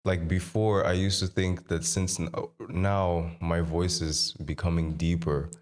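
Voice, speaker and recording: Very deep-voiced young man. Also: flat delivery, unhurried. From Mozambique. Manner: informal, repeats phrases for emphasis. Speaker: singer, podcast guest. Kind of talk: casual chat.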